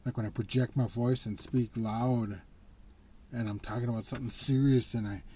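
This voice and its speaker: A man talking casually to the camera in a slightly raspy voice, rambling and restarting his sentences. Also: mid-range voice, steady tone, warm tone, a little hiss or hum. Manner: rambling, halting, with frequent restarts and laughs. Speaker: vlogger. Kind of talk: casual personal monologue.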